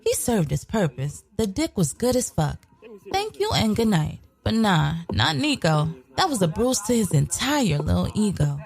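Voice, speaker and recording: A woman's voice: medium pitch, very animated, moderate pace, creaky, darkish tone. A woman playing a sly, brash young woman killer in an audio drama, telling her story in a sassy, profane, colloquial way. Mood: boastful, dismissive. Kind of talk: scripted first-person narration, audio drama.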